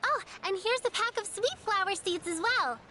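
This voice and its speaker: A woman's voice: extremely high pitch, lively intonation, normal speed, sharp tone, slight background noise, exaggerated voice. A woman voicing a sweet, dutiful little girl apprentice in a video game, earnest and politely fussing. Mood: caring.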